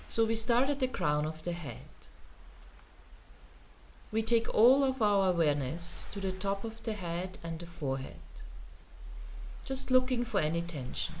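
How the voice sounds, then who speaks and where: A woman teaching meditation, guiding a relaxation in a gentle, soothing manner with pauses. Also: medium pitch. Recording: noticeable ambient background noise.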